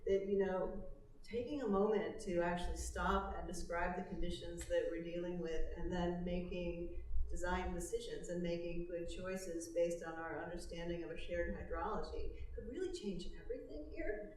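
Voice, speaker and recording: A woman's voice: on the low side, moderate pace, a little mellow, slight background noise. A woman hosting and moderating a panel, speaking fluently with casual hedges like "kind of" and "you know". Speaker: event host, moderator. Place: lecture hall. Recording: echoey hall, mic at a distance.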